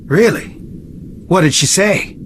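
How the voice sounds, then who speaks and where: A man's voice: sits high, expressive, slow, slight background noise. An actor voicing a reserved, earnest young man in a video game, speaking in a guarded, confiding manner.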